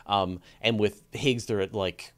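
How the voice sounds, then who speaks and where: A man with a somewhat low voice interviews a guest on a science podcast. He is conversational and a little tentative, working out each question as he speaks. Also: fairly even intonation, a little slow.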